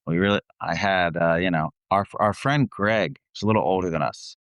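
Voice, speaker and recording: A man's voice: low voice, moderate pace, fairly bright tone, clear, resonant tone, no room sound. A man chatting casually as an interview guest, rambling and self-deprecating, with some swearing. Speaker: guest, metal band vocalist. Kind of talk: casual chat.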